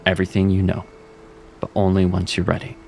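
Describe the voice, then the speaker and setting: A man's voice: low-pitched, brisk, creaky. A man voicing Seraphin, a wry cat mentor. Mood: steady. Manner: steady, reassuring, self-critical. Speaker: voice actor. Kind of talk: audio drama acting. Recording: music underneath.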